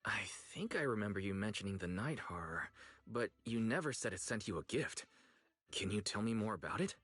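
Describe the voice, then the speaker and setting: An actor playing a calm, curious, supportive young friend in a video game, gently asking questions in a husky voice. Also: male voice, fairly low pitch, a little fast, no room sound. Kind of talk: video game story dialogue acting.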